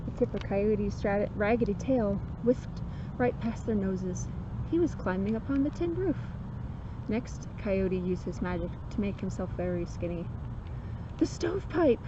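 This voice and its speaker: A woman reads a children's story in an expressive, emphatic way, slipping into casual talk. Her voice sits at a medium pitch. It was recorded outdoors, with a dull sound and plenty of background noise. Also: measured pace. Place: outdoors in woods.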